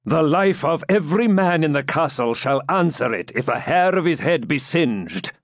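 A man voicing a stern, commanding champion knight in an audiobook, authoritative and deliberate. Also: a little high, animated, no echo, studio quality, voice pushed up. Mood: alarmed urgency.

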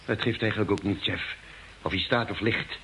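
A man acting a practical, composed ship's doctor with a gravelly voice in an old radio play. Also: on the low side, normal speed, sharp tone, rough recording. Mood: matter-of-fact. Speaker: radio drama actor. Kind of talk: mid-century Dutch radio play acting. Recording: old radio recording, faint hiss.

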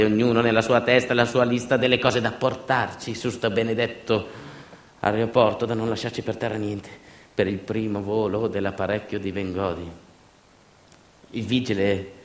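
A man performing a theatrical storytelling monologue for radio theatre, emphatic and repetitive, moving between hushed asides and louder delivery. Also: on the low side. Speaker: stage actor and monologue storyteller. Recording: roomy echo, music behind.

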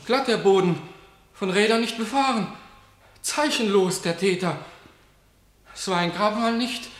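A breathless, hesitant guard. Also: male voice, high voice, a bit bright. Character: anxious male guard dreading his master. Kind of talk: classical verse radio drama. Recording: roomy reverberant room.

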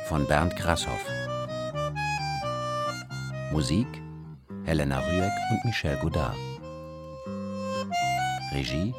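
A man's voice: very deep voice, lively intonation, slow pace, long pauses. A man announcing the title and credits of a radio drama in a scripted style. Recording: loud music over the voice.

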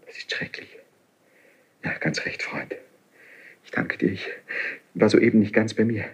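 An actor playing a highly strung young crown prince in a classical radio play, declaiming intensely with a husky voice. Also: male voice, measured pace, lo-fi sound. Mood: shaken, secretive. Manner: impulsive, intense, theatrically declaiming. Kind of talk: classical German radio play stage acting.

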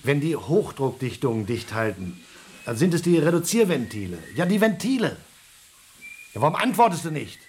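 A man's voice: a little high, very animated, normal speed, a little mellow, a little hiss or hum. An actor playing an anxious space crewman in a radio play, pressing urgent questions in an agitated way. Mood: alarmed. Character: anxious male space crewman short of air.

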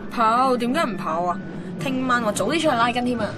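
A woman's voice: a little high, lively intonation, brisk, lightly acted. An actress playing a teasing, restless and wistful young woman with a Hong Kong accent in a naturalistic radio drama. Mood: wistful, candid.

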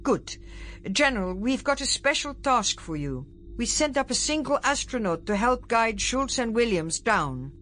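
A woman's voice: fairly high pitch, very animated, unhurried, a bit bright, faint music behind, pitched above the performer's own voice. A woman voicing a careful, formal male space official in an audiobook, precise and slightly foreign in accent. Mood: businesslike.

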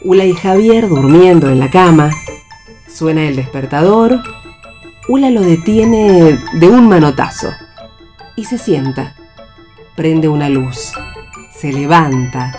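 A woman's voice: deep voice, animated, slow pace, pauses often, warm tone, faint music behind. A woman with an Argentine accent reading stage directions as a scripted narrator.

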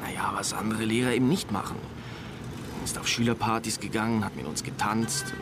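A man's voice: lowish voice, fairly even intonation, a little fast, close to an everyday voice. Hesitant schoolboy informant, played by a man, noisy background. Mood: hesitant, confiding. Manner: hesitant, colloquial. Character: evasive, casual male high school student informant. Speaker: actor in radio crime drama. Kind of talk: radio play acting.

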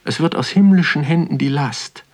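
Pensive male narrator, poetic and measured. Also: a little high, a little mellow, husky. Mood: brooding, poetic. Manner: contemplative, poetic, measured delivery. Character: pensive male first-person narrator strolling through a natural history museum. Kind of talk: literary radio drama narration.

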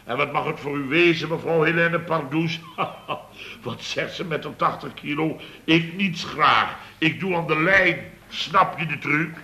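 A man's voice: fairly high pitch, unhurried, pushed and bright. An actor playing a blustering, exasperated boss of a building firm in a radio comedy, exclaiming and repeating himself as he tells his tales. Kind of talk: Dutch radio comedy acting, anecdote telling.

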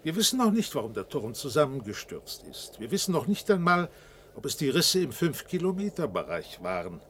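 An actor playing a courteous, anxious city dignitary in a German science fiction radio play, speaking formally and carefully. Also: male voice, mid-range voice, big pitch swings, fairly quick, a bit bright, a little hiss or hum. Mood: grave, shaken.

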